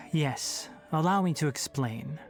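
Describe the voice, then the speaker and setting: A young man playing a composed, scholarly forest ranger in a video game, articulate, measured and matter-of-fact. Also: a little high, creaky, a bit bright, soft background music, heavily acted. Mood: dry, matter-of-fact.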